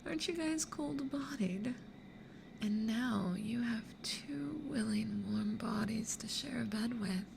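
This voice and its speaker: A woman playing a playful, mischievous demon in a hushed, teasing, creaky ASMR roleplay whisper. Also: fairly high pitch, slow, full character voice. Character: playful, mischievous demon who toys with humans. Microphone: close studio condenser or binaural mic. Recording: clear background noise.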